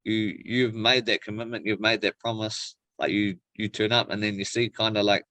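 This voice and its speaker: A man's voice: lowish voice, steady tone, moderate pace, bright, forceful tone, dry recording. Laid-back New Zealand man, dry close sound. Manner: laid-back slangy phrasing, frequent "like" and "bro". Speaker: professional MMA fighter being interviewed. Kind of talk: casual interview chat.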